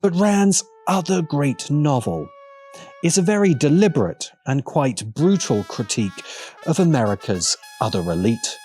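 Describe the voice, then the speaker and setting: A man's voice: on the high side, sing-song, a little slow, a little mellow. A man delivering scripted, opinionated commentary in an emphatic, sardonic way. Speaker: video essayist. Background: music.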